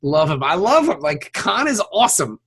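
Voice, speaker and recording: A man's voice: a little high, very animated, normal speed, fairly bright tone, breathy edge. A man co-hosting a podcast, chatting about films with slangy, profane enthusiasm. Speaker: podcast co-host. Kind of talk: casual chat, film commentary.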